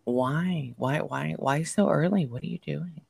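A woman guest on a podcast who has just woken up, talking in a low, sleepy voice with a bubbly, joking manner over a remote recording. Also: creaky, a little mellow, slightly rough. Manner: bubbly and jokey, quick asides. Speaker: podcast guest, psychic medium.

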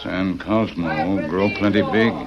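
Loyal grave western sidekick, low voice, clipped broken English, vintage radio serial, dull sound, faint crowd. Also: male voice, slow. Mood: grave, terse. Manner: terse, stilted broken English. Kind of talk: old-time radio western acting.

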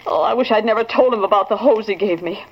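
An actress playing an efficient, concerned office secretary in a 1940s radio drama, polite and earnest. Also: female voice, mid-range voice, fast, close to an everyday voice. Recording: dull vintage radio recording.

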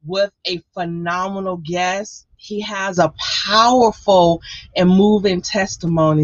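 A woman's voice: on the low side, dry recording. Female podcast host, upbeat and emphatic introduction style, dry and slightly muffled recording. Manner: emphatic, enthusiastic.

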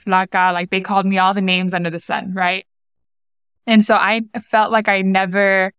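Smooth-voiced woman podcast host. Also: mid-range voice, dry recording, studio quality. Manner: warm, reacts often with "wow". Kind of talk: casual conversation.

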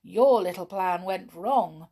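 Woman as an amiable, smug gentleman uncle. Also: on the low side, slow, husky, heavily acted. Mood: smugly triumphant. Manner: courteous, drily gloating. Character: amiable, smugly triumphant middle-aged male scientist uncle.

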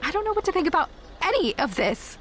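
A woman's voice: very high voice, very animated, quick pace, slight background noise. An actress playing a hurt, disbelieving young girlfriend in an audio drama, emotional and wounded. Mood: upset, disbelieving. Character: hurt, disbelieving young woman betrayed by her boyfriend.

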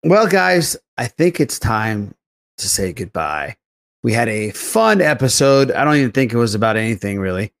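A man's voice: medium pitch, sing-song, normal speed, vocal fry. Guy hosting a podcast, loose rambling chat, mid voice that moves around a lot. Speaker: podcast host. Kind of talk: casual pop culture chat.

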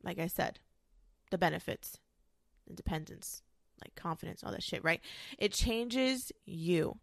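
A woman's voice: lowish voice, lively intonation, a little slow, gravelly, no room sound, studio quality. A young woman with a congested, nasal voice from a cold, giving frank, chatty advice as a podcast host and swearing casually.

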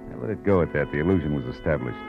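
A man's voice: very deep voice, lively intonation, very fast. A man acting a tough, wry private detective in a 1940s American radio drama, clipped and dryly sarcastic. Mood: brooding narration. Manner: clipped hardboiled delivery, dry sarcasm. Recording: muffled vintage radio recording with music.

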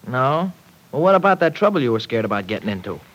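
An actor playing a brash, skeptical young working man in an old-time radio serial, slangy, folksy and excitable. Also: mid-range voice, fast, dull audio. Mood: agitated, doubtful. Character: brash, folksy young dairy worker, skeptical, protective boyfriend. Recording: vintage radio drama recording.

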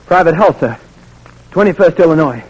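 An actor in an old-time radio drama playing a young captive soldier, polite and hesitant but stubbornly loyal. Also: male voice, fairly high pitch, animated, moderate pace, breathy edge. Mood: nervous, evasive. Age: young adult. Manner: hesitant, deferential. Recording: noisy old radio recording.

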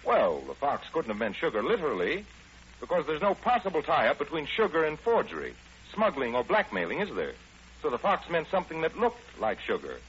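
Animated American man on vintage radio. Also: fairly high pitch, a little fast, husky. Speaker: radio show host and detective lead. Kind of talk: 1940s radio presenting and acting. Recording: muffled, hissy old radio broadcast.

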